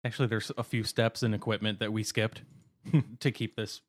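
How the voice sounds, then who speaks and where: Guy who runs the podcast audio, lowish voice, plain matter-of-fact, dry mic. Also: level tone, moderate pace, no echo. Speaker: podcast co-host and sound engineer. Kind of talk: casual chat. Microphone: studio microphone.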